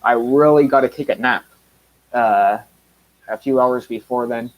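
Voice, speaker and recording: A man in his mid-thirties talks loosely on his own live stream, wandering between thoughts with plenty of uhs and restarts. His voice sits at an ordinary middle pitch for a man. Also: unhurried, dull audio. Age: 30s. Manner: rambling, many fillers and restarts. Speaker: channel host. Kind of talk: casual live stream chat.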